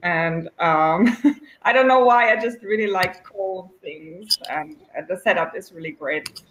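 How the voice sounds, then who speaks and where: A woman's voice: on the low side, measured pace, fairly bright tone, reverberant, slight background noise, rough recording. A woman from Germany who works as a liaison between players and developers, answering interview questions in a chatty, giggly way with many fillers. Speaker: game developer community liaison.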